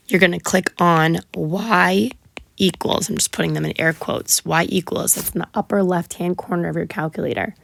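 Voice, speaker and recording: A hoarse woman teacher who is sick and has partly lost her voice. She talks through a math lesson patiently and step by step, with a low, gravelly tone. The sound is dry and close. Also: no echo. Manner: patient, step-by-step explaining with many ums. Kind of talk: recorded math lesson walkthrough.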